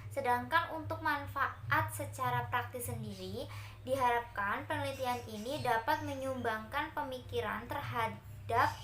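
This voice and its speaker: A woman's voice: on the high side, a bit bright, roomy sound, a little hiss or hum. A young woman from Central Java giving a class presentation, explaining step by step to her classmates with frequent hesitation fillers. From Central Java, Indonesia. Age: young adult. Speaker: university student presenter.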